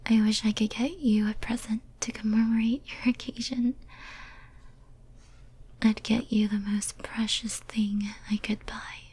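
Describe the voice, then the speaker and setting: A woman playing a lonely, obsessive female demon in a whispered ASMR roleplay, speaking softly and breathily in a tender, intimate, hesitant way. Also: a little high, steady tone, unhurried, breathy edge. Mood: wistful, affectionate.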